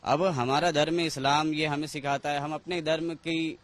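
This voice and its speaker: A man's voice: on the high side, little pitch movement, normal speed, fairly bright tone. A local man. He gives explanatory interview answers, making his case point by point with rhetorical questions. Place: on location in a local neighbourhood.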